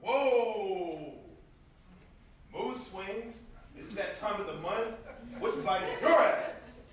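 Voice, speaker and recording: A man's voice: sits high, lively intonation, slow. A man acting on stage as a teasing but protective older brother, with a sarcastic, needling, theatrical delivery. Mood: mocking. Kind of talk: amateur stage play acting. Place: indoor stage, recorded from afar. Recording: echoey stage, distant noisy camera microphone.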